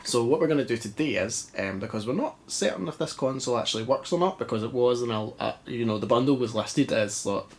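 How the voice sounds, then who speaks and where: A man's voice: medium pitch, expressive, fast talker, few pauses. A Scottish man with a stuffy, congested voice, chatting casually and mocking himself while testing an old console in a dim living room. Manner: chatty, self-mocking, rambling. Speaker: retro game vlogger. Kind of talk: casual chat.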